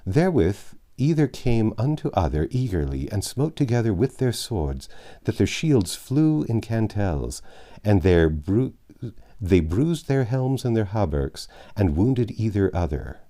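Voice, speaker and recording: A man narrating a classic novel aloud, expressive with wry asides and occasional self-corrections. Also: on the low side, a little slow.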